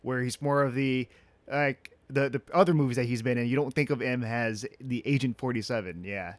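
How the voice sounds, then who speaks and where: A man's voice: medium pitch, fairly quick, dry recording. A man hosting an interview in casual chat, agreeable and heavy on fillers. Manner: agreeable, frequent fillers and backchannel. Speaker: interviewer, host.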